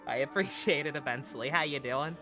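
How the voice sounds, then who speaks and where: A woman's voice: low-pitched, a little fast. A woman streaming a game live, giving casual commentary in a sarcastic, profanity-laced way. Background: game music.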